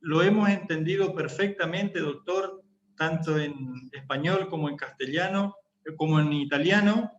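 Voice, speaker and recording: A man's voice: on the high side, normal speed. A man moderating questions in formal, courteous speech. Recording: echoey, dull, faint hum.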